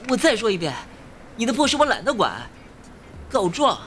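A wary, exasperated teenage schoolboy, played by a man in an audio drama. His delivery is expressive and grumbling, with lively pitch swings and music clearly underneath. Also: normal speed. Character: exasperated, wary male high school student. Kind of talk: Chinese audio drama acting with first-person narration.

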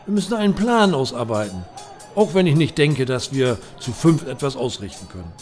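A man playing a resolute knightly hero in a fantasy audio play. He declaims sternly in a mid-pitched voice with lively swings, commanding, over soft music. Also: brisk, close to an everyday voice. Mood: grave, resolute. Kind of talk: comedic fantasy audio drama acting.

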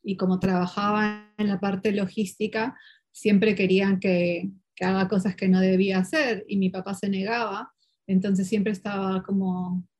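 Woman interview guest, Portuguese speaker; lively storyteller full of fillers and self-corrections. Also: mid-range voice, moderate pace. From Peru. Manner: lively, animated, many fillers and restarts. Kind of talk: casual conversational storytelling.

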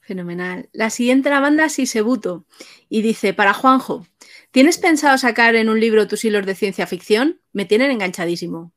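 A woman's voice: fairly high pitch, animated, moderate pace, a bit bright. Casual woman hosting an interview. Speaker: host reading audience questions. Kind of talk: casual interview, reading out questions.

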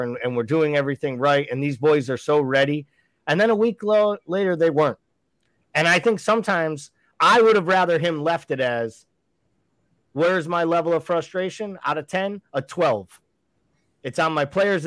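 A man's voice: a little high, no echo, low-quality recording. Fired-up man with a dry close sound. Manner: emphatic, argumentative, rhetorical questions. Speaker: podcast co-host. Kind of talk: casual sports chat.

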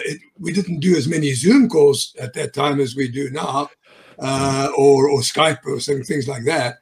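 An older man from South Africa, a guest telling his business story in a chatty, rambling way with frequent chuckles and fillers. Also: a little high. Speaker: guest, mortgage business owner. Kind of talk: casual interview chat.

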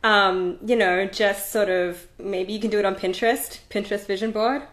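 A woman's voice: medium pitch, fairly even intonation, normal speed, fairly bright tone. A woman hosting an interview, chatting casually and encouragingly with her guest.

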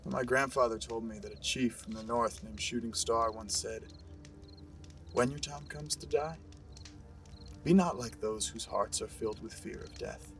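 An actor playing a proud, calm young army scout in a western audio drama, earnest and composed. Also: male voice, mid-range voice, measured pace, creaky, far from the mic. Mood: reflective. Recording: music behind the voice.

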